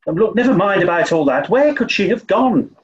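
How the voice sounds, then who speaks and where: A man's voice: high voice, sing-song, fairly quick. Man playing a flustered, pompous upper-class English toff, muffled. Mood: flustered, haughty. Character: dim-witted, snobbish English gentleman, bumbling amateur sleuth. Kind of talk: 1940s radio play acting.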